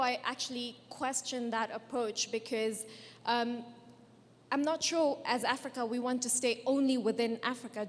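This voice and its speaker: A woman with a Kenyan accent, a native Swahili speaker, asking an articulate, probing question from the audience. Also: a little high. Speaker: audience member. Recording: echoey conference hall.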